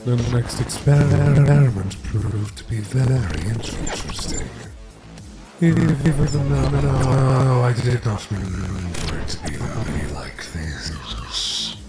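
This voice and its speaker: A man's voice: mid-range voice, lively intonation, slow pace, poor audio. A man playing an obsessive, brooding skeleton scientist in a dramatic comic dub, with precise, formal diction. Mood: eerie, halting. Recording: music behind the voice.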